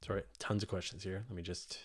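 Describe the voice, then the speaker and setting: Low-pitched man answering questions casually. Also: flat delivery, quick pace, slightly rough. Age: middle-aged. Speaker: host answering live audience questions on space and astronomy. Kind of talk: casual livestream Q&A, explaining science off the cuff.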